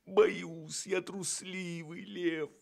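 A man playing a big, timid, good-hearted cowardly lion in an expressive children's radio play. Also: sits high, extremely slow, vocal fry, heavily acted. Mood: timid, earnest.

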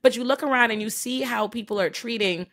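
A woman's voice: on the high side, a little fast, a bit bright. A young woman host tells a story candidly and emphatically into a podcast mic from a room at home. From Bahamas. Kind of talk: casual interview talk. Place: home room with plants and bookshelf. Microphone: large podcast microphone.

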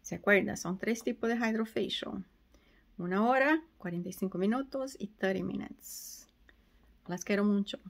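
A woman in her forties with a Portuguese accent, explaining skin treatments in a chatty, casual way. She talks into a phone held at arm's length in a small treatment room. Her pitch sits in a middle range for a woman. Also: normal speed, gravelly. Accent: Brazilian Portuguese. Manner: chatty, mixes English words into her Spanish. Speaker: esthetician. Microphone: phone camera at arm's length.

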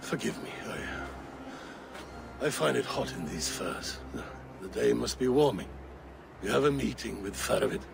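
An actor playing a paranoid, wrathful middle-aged Viking jarl in a video game, imperious and accusing, with a gravelly voice. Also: male voice, mid-range voice, expressive, a little slow, pauses often, music in the background. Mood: strained, recovering. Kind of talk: dramatic video game acting. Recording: noisy game ambience behind.

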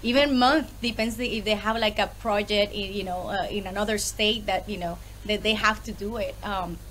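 Lively Puerto Rican woman on a video call. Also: medium pitch, moderate pace, a little hiss or hum, rough recording. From Puerto Rico. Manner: lively, laughs often, many fillers. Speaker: guest, small business owner. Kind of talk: casual interview on a video call. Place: home room with bookshelves.

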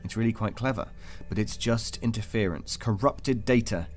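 An actor playing a calm, clinical and controlling interrogator in an audio drama, speaking in a measured, patronising way. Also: male voice, fairly low pitch, faint music behind, natural voice. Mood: probing.

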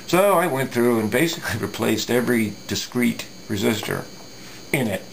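A middle-aged man giving a casual hands-on technical explanation, thinking aloud haltingly with folksy asides. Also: medium pitch, animated, gravelly, slight background noise, rough recording. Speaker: radio repair hobbyist presenting. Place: indoor electronics workbench.

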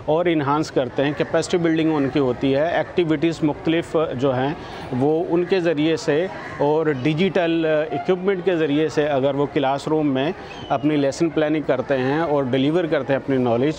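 A middle-aged man giving interview answers outdoors at a school, speaking deliberately with frequent filler pauses, on a lapel mic. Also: on the high side, fairly even intonation, noisy background. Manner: deliberate, with many filler pauses and English terms. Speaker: education official interviewed.

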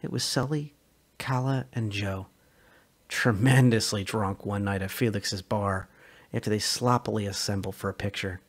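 A man's voice: lowish voice, steady tone, normal speed. A man giving a dramatic reading of a short story as narrator.

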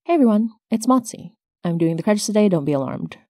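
A woman's voice: medium pitch, lively intonation, quick pace, warm tone, no echo, studio quality. A woman hosting her podcast, reading scripted announcements as its creator.

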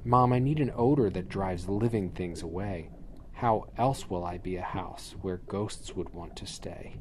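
A man's voice: low voice, measured pace. A man voicing a lonely, earnest young cottage girl in a storybook, with plaintive, dramatic delivery. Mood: pleading. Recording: clearly noisy ambient sound effects behind.

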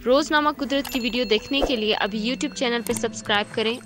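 A woman reading a short scripted channel promo in a bright, upbeat announcing manner. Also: on the high side, brisk. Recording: promo with loud music.

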